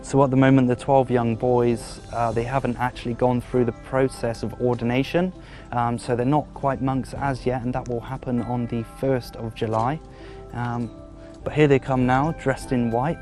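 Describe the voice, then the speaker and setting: A man's voice: medium pitch, level tone, music in the background. Formal male presenter outdoors. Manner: formal, measured, somewhat repetitive phrasing; jokes and chats cheerfully with children. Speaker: documentary presenter and observer. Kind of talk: presenting to camera. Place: outdoors at a rural forest monastery.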